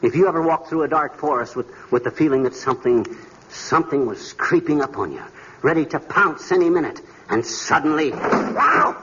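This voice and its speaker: An actor playing a bumbling, boastful middle-aged husband in an American 1940s radio comedy, blustery and folksy, with an American accent. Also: male voice, on the high side, lively intonation, normal speed, fairly bright tone. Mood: melodramatic. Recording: muffled, noisy 1940s radio recording.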